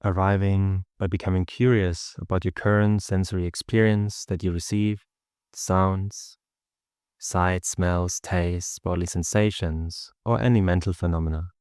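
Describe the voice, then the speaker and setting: A man leading a guided meditation as a teacher, speaking in a calm, measured, instructional way with pauses. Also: deep voice, clean tone, no room sound, clean studio sound.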